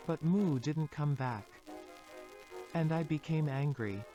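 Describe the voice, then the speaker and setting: High-voiced, wounded man over music acted. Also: slow, mellow. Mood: grieving. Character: wounded, intense interviewee recounting a traumatic childhood. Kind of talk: scripted analog horror dialogue. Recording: music under the voice.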